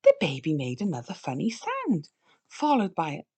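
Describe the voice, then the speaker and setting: A woman reading a children's storybook aloud with expressive, deliberate phrasing for young listeners. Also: fairly low pitch, husky. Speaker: storybook reader. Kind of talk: scripted children's read-aloud narration.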